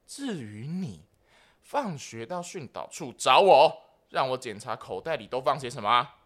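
A man's voice: medium pitch, big pitch swings, normal speed, a bit bright. A man voicing a bossy, nosy school disciplinary director with a Taiwanese accent in a comic radio play. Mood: stern, incredulous. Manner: barking orders, suspicious, muttering asides.

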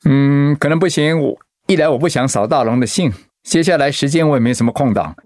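A man playing a gentle, stoic, ailing middle-aged husband in a radio drama, hesitant and reassuring. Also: a little high, a little fast, dry recording, studio quality, natural voice. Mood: hesitant, apologetic.

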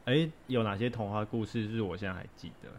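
A young man with a fairly low voice, co-hosting a relaxed chat. He answers calmly and briefly, and faint hiss sits under the recording. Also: quick pace. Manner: calm, brief replies. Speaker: co-host, nephew. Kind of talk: casual chat.

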